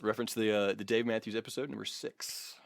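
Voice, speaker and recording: A man's voice: fairly low pitch, level tone, quick pace, creaky, no echo. An American man co-hosting a comedy podcast, reading a biography with mock grandeur, swearing and slipping into casual banter. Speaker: podcast co-host.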